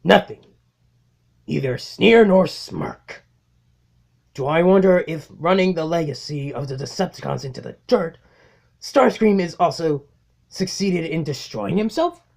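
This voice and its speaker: A man's voice: on the high side, animated, unhurried, vocal fry, darkish tone, full character voice. A man voicing a domineering robot warlord in a cartoon-style comic dub, delivering theatrical, menacing monologues full of cold mockery. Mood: scornful tirade. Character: domineering robot warlord, male, coldly mocking his underling. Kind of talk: amateur comic dub acting.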